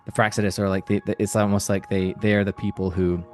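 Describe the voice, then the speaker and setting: A man speaking as a voice actor guest in a casual interview, halting and thinking aloud as he goes. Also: fairly low pitch, level tone, darkish tone, soft background music.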